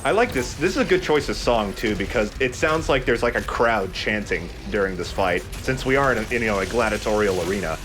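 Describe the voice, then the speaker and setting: A man's voice: lowish voice, animated, fairly quick, hardly any pauses, fairly bright tone. A man hosting a let's play, chatting casually as he comments on the game. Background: game music.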